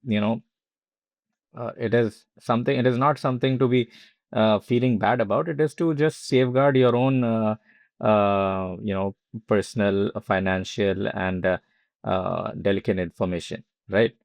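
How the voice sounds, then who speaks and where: A man with an Indian accent talking casually and haltingly as a podcast host, with frequent asides. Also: lowish voice, steady tone, gravelly, dry recording.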